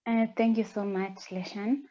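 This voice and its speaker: A woman's voice: medium pitch, little pitch movement, moderate pace, mellow, dull audio. A woman from Kenya, a community practitioner, introducing herself in a friendly way.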